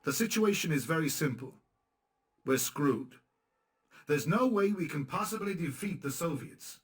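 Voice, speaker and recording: A man's voice: a little high, lively intonation, measured pace, breathy edge. An actor playing a resistance leader in a video game, blunt, dry and sarcastic as he briefs his men. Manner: dry, sarcastic, matter-of-fact. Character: dry, blunt male guerrilla commander. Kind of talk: video game cutscene acting and narration.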